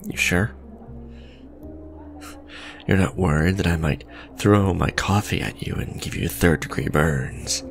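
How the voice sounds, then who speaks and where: A man's voice: low voice, measured pace, gravelly, long pauses, soft background music. A man playing an awkward, blunt supervillain in a romantic ASMR roleplay, speaking in a hesitant, earnest, conversational way. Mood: reassuring, casual. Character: male supervillain, awkward, blunt, businesslike on a blind date. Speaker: voice actor.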